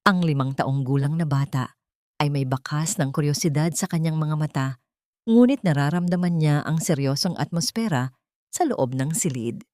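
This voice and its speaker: Slightly low-voiced woman narrating melodrama. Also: normal speed, warm tone, dry recording, studio quality. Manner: suspenseful storytelling. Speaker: story narrator. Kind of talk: dramatic scripted narration.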